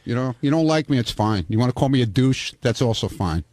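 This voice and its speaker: A man's voice: mid-range voice, animated, a little fast, a little mellow, no room sound. A man on a radio show arguing bluntly and irritably, with plenty of profanity. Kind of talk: heated on-air banter.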